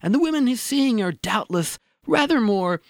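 A man playing a gloomy, philosophical and tactless character in a comedy audio drama, speaking in a deliberate, dour way. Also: high voice, expressive, vocal fry, dry recording, studio quality. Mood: tactless, blunt.

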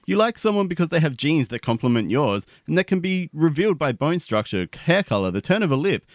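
A man acting a vain, teasing know-it-all in an audio comedy, recorded dry and close. His pitch jumps about in a lively way as he lectures and needles in a glib tone. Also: brisk, no echo. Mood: smug, lecturing. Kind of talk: scripted comedy audio drama.

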